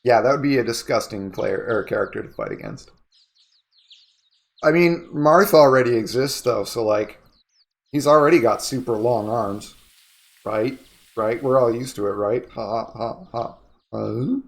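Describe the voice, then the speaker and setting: A young man with a raspy, sniffly voice, chatting casually to his viewers while streaming a video game. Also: medium pitch, a little slow, pauses often. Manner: chatty, reading chat aloud. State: sniffly, coughing. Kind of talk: casual chat while gaming. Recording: game music behind the voice. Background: video game music.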